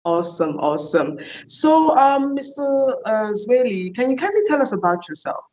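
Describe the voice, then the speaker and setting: A woman's voice: fairly high pitch, very animated, normal speed, darkish tone, poor audio. A woman hosting an online TV interview, chatty and enthusiastic, with frequent fillers. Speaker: host.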